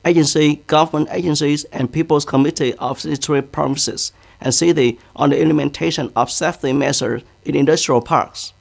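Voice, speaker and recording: A man's voice: fairly high pitch, creaky, no room sound. A man with a Vietnamese accent, a native Vietnamese speaker, presenting scripted news in English.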